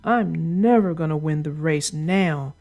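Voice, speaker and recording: A woman's voice: low voice, measured pace, a little mellow, faint music behind, voice pushed down. A woman voicing an eager, earnest young male steam engine in a children's storybook. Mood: dejected.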